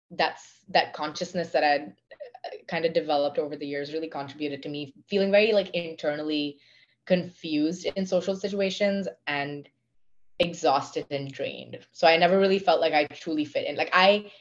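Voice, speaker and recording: Lower-pitched young woman with an Indian accent. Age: 20s. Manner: reflective, talks in long winding sentences with fillers. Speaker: guest. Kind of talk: casual conversation. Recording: dull lo-fi audio.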